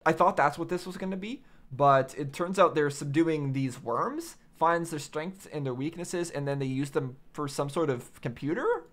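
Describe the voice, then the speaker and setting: A man's voice: a little high, animated, normal speed, few pauses, a bit bright. A man giving casual, off-the-cuff reaction commentary, musing aloud in a puzzled, questioning way. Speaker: reaction video host.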